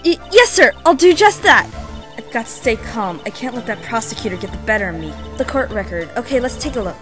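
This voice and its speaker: A woman's voice: on the high side, animated, fairly quick, close to an everyday voice. A woman voicing a nervous, earnest young female rookie defense attorney in a game fan dub, easily flustered. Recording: loud music behind the voice.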